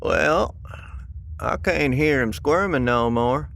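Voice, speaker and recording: Gravelly, folksy survivor. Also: male voice, medium pitch, lively intonation, fairly bright tone, full character voice. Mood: weary, easygoing. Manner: folksy, colloquial, drawn-out reassurance. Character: folksy, plain-spoken, protective male apocalypse survivor. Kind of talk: naturalistic audio drama acting. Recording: clearly noisy background ambience.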